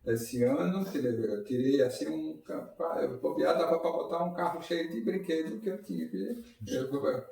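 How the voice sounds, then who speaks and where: A man's voice: fairly high pitch, normal speed, creaky, dark tone. A man giving an informal public lecture at a spiritist center, talking conversationally and putting rhetorical questions to the audience. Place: lecture hall. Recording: echoey hall, mic far off.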